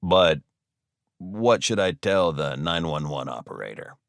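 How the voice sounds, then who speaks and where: A wry middle-aged man , as a male narrator plays him, unflappable and deadpan. His bright, dry-recorded voice gives calm, smart-alecky replies in an audiobook thriller. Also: a bass voice, unhurried, vocal fry, no echo, voice pushed down, exaggerated voice.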